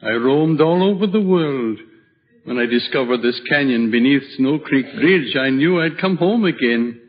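An actor playing a kindly, serene old widowed hermit in a slow, solemn radio drama style. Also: male voice, mid-range voice, lively intonation, close to an everyday voice. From Switzerland (the figure). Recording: dull vintage radio recording.

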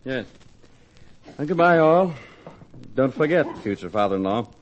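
An actor playing a smug, scheming suitor who talks mockingly in a 1940s radio play, on a dull, lo-fi old radio recording. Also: male voice, medium pitch, animated, unhurried, slight background noise, close to an everyday voice.

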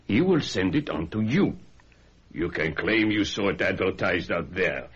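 A suave, scheming antique dealer with a low, expressive voice. He speaks with formal, courtly discretion, and the old recording is dull like a phone line. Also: a little slow, full character voice. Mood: suave, conspiratorial. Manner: formal, courtly, smooth-talking.

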